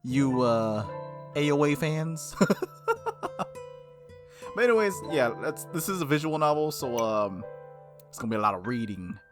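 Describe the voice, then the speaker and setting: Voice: medium pitch, expressive, unhurried, long pauses, music in the background. A gaming video host with an informal, playful way of talking, commenting casually and reading game text aloud. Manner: informal, giggly, frequent "like", playful asides. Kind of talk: casual gameplay commentary with read-aloud visual novel text.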